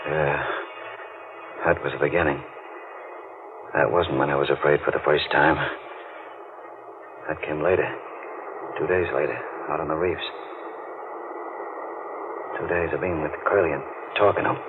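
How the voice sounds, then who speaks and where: An actor playing a brooding, guilt-ridden deep-sea diver in an old-time radio mystery, speaking in a tense, halting way. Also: male voice, fairly even intonation. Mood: grim, confessional. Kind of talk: old-time radio drama acting with narrated inner monologue. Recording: muffled, noisy old radio recording.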